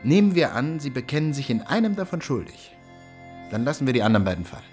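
An actor playing a genial but cynical older district attorney in a German radio drama, jovial and coaxing with a threat underneath. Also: male voice, mid-range voice, very animated, quick pace, a little mellow, faint music behind, natural voice. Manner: jovial, coaxing, tag questions, veiled threats.

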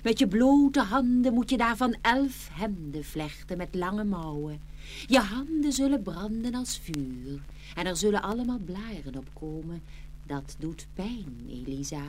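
Dream fairy: solemn, deliberate, unhurried, storybook drama with faint noise. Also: female voice, medium pitch, big pitch swings. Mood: solemn warning. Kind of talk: fairy-tale radio play acting.

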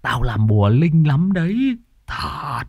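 A man's voice: fairly high pitch, big pitch swings, slow pace, gravelly, a little mellow, husky, dry recording, clean studio sound, voice pushed up, exaggerated voice. A man voicing a ragged, crazed old sorcerer in an audio horror story, rambling and repeating himself with a cackling manner. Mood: manic, boastful. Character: ragged crazed old male sorcerer, menacing then whimpering.

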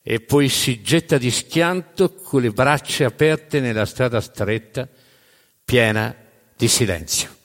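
A man performs dramatic spoken-word narration as a stage storyteller. His mid-pitched voice rings through a long hall reverb. He is emphatic, leaving deliberate pauses and repeating phrases for effect. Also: animated, a bit bright. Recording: reverberant hall live recording.

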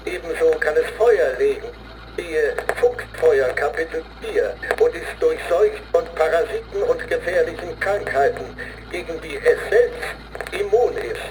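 A man's voice: a little high, expressive, a little slow, background noise. Zealous, solemn man reciting doctrine. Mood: solemn, ominous. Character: man reciting a zealous, grim training manual on a demonic fox. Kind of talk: solemn recitation in a radio play. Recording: studio radio play with music underneath. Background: music.

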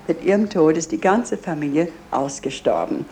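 Mellow, even-toned woman guiding visitors. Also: low voice, normal speed, slight background noise. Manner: earnest, with slightly faulty grammar. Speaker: museum guide and owner of a memorial house. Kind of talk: guided tour talk.

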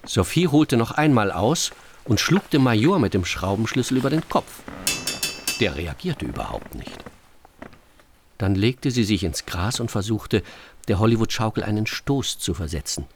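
Low-pitched, animated storyteller. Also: male voice, normal speed, slight background noise. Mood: narrating. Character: storybook narrator, measured and vivid. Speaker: narrator. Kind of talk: scripted radio drama narration.

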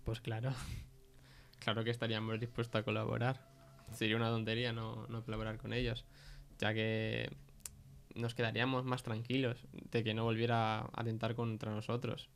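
Spanish guy playing a witness in an interview, matter of fact, super monotone. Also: fairly low pitch, moderate pace, creaky. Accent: Spain. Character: matter-of-fact male film crew worker as witness. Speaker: interview guest. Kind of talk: conversational fictional testimony.

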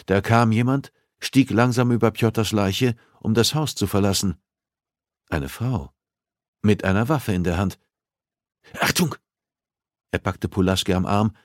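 A man's voice: lowish voice, normal speed, darkish tone, dry recording, studio quality. A man reading a thriller novel aloud as scripted audiobook narration.

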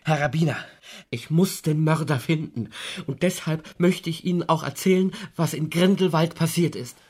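An actor playing an earnest, troubled man under suspicion in a radio drama, with a husky voice and insistent delivery. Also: on the high side, normal speed, darkish tone. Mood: worried, earnest.

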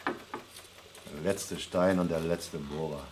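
An actor playing a gruff, sarcastic male astronaut on the moon who swears, in a German radio play. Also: very deep voice, fairly even intonation, slow pace, background noise. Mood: weary.

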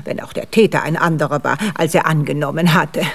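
An actress playing a genteel older lady in a German radio play, fond and gently scolding. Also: sits low, steady tone, brisk, vocal fry. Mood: fond, supportive. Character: genteel older lady companion, warm-hearted and gently chiding. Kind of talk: German radio drama acting.

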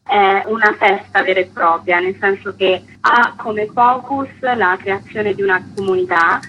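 A woman's voice: medium pitch, fairly even intonation, a little slow, sharp tone, husky, highs cut off. A woman festival co-director explaining an event in interview answers. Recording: faint background noise.

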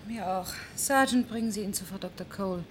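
An actress playing a brisk, blunt female scientist in a German radio play, with a dry, matter-of-fact manner. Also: mid-range voice, slight background noise, natural voice. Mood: firm, brisk. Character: brisk, blunt female scientist and team leader, worried mother.

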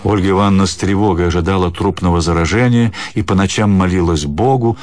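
Deep-voiced man narrating a literary story. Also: gravelly, darkish tone. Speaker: narrator of an audio drama. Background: music.

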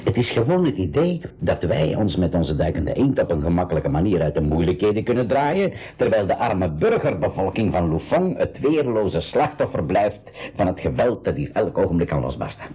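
An actor playing an idealistic older uncle and professor in a 1980s educational radio play, with a Flemish accent, a husky voice and a deliberate, explanatory manner. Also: male voice, low-pitched, sing-song, exaggerated voice. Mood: earnest, thoughtful. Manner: deliberate, explanatory, with hesitant repetitions. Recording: dull 1980s radio recording.

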